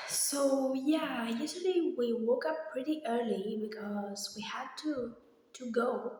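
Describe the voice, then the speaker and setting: Slow-talking young woman in a very echoey room. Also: a little high, a little mellow. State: slightly hoarse. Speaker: vlogger. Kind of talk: casual personal chat, rambling updates. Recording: very echoey, reverberant empty room.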